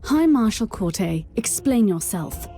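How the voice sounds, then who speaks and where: A woman's voice: medium pitch, very animated, slow pace, warm tone, soft background music. An actress voicing a stern, zealous female warrior inquisitor in a video game, cold and commanding.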